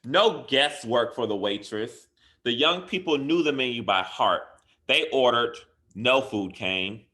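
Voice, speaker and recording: A man reading a picture book aloud to children in an expressive way, with deliberate, emphatic phrasing and dramatic stresses. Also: medium pitch. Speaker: school educator reading a bedtime story.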